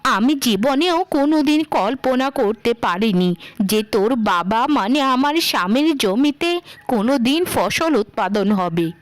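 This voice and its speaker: A woman plays an old, poor village widow full of motherly affection. The voice is high and close, with no room echo. The delivery is plaintive cartoon melodrama. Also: animated, measured pace.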